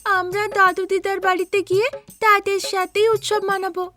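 A woman's voice: very high voice, little pitch movement, normal speed, pushed and bright, soft background music, voice pushed up. A woman voicing an eager, pleading little girl in a cartoon story. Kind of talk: Bengali cartoon voice acting.

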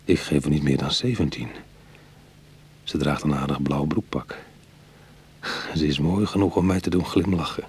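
An actor playing a proud, wry retired burglar in middle age who is easily goaded, in a Dutch radio play. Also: male voice, very deep voice, moderate pace, pauses often, a little mellow, slight background noise. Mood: brooding, wry. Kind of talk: Dutch radio drama acting.

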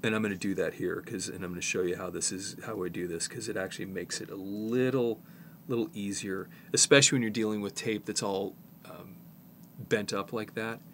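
Man, fifties, lowish pitch, slight head cold, casual tutorial, halting informal delivery, background noise. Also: steady tone, fairly bright tone. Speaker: presenter, tape repair technician.

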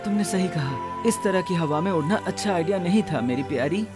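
A man's voice: high voice, lively intonation, fairly quick. A man voicing an affectionate, chatty little male bird in a cartoon. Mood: doting, sheepish. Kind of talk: animated cartoon voice acting. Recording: clear background music.

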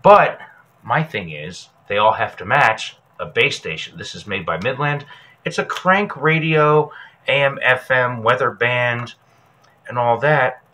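Bright-voiced man giving a casual demo. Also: mid-range voice, expressive, unhurried. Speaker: host, presenter. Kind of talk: casual instructional demonstration.

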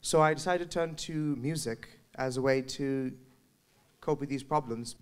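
A man's voice: medium pitch, normal speed, clear, resonant tone. A young man on a hall stage sharing his own story of anxiety into a handheld mic, earnest and reflective. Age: young adult. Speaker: student speaker. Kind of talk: personal testimony at a panel.

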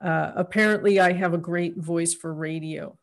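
A woman's voice: lowish voice, steady tone, normal speed. A middle-aged woman chatting on a video call, asking teasing questions and giggling. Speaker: accountability partner, interviewer. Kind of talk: casual video-call chat. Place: home room. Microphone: video call, desk mic.

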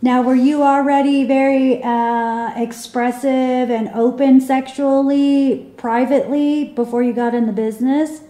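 Mellow woman interviewer in echoey room. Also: high-pitched, fairly even intonation, measured pace, few pauses. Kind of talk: casual, conversational questioning.

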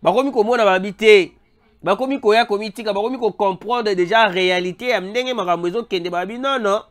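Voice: medium pitch, brisk. Congolese commentator with a head cold. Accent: Congolese French. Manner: animated, repeatedly calls out to viewers, mixes in Lingala. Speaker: online news commentator. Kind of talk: emphatic news commentary to viewers. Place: voice-over over a still image.

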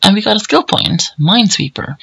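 A hoarse man giving casual, rambling commentary over gameplay, with plenty of fillers. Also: sits high, animated, a little fast, sharp tone, a little hiss or hum. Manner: rambling, easygoing, many fillers. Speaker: let's play commentator.

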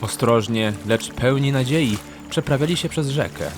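A man's voice: medium pitch, moderate pace. A man narrating a scripted fantasy story as an audiobook narrator. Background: background music.

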